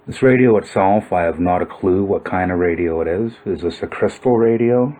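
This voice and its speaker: A man's voice: deep voice, normal speed, warm tone. A man giving informal explanatory commentary on old photos, musing and thinking aloud as he goes. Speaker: video narrator.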